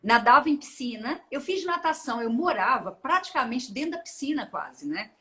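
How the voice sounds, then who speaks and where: A woman's voice: sits high, sing-song, moderate pace, noisy background. Chatty laughing woman from Minas Gerais. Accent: Minas Gerais Brazilian Portuguese. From Brazil, Minas Gerais. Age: 40s. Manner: chatty, laughs often, rambling with restarts. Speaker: guest, open-water ultramarathon swimmer. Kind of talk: casual interview chat.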